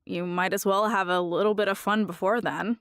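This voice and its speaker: A woman acting as a sassy, laid-back greeter in a vampire household. The voice is mid-pitched and close and dry, with teasing endearments and a breezy, patronising air suited to audio drama. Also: steady tone, fairly quick, a bit bright, smooth, dry recording, close to an everyday voice.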